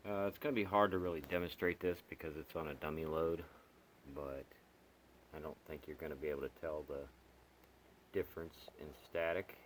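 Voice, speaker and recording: A man reviewing gear as a hobbyist in a casual, unscripted, halting and rambling way, with pauses as he explains. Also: very deep voice, fairly even intonation, a little hiss or hum, low-quality recording.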